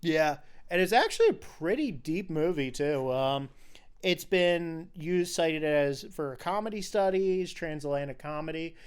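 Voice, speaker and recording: A man's voice: on the high side, expressive, unhurried, smooth. A man hosting a casual film podcast, talking in an informal, explanatory way. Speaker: podcast host.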